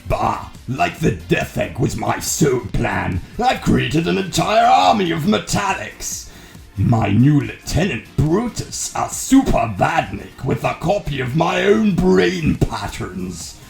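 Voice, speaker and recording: A man voicing a bombastic, gloating mad-scientist villain with a gravelly, theatrical delivery. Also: fairly high pitch, big pitch swings, slow pace, full character voice. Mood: boastful, scornful. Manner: theatrical, gloating, swinging from growls to shouts. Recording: echoing voice under loud music.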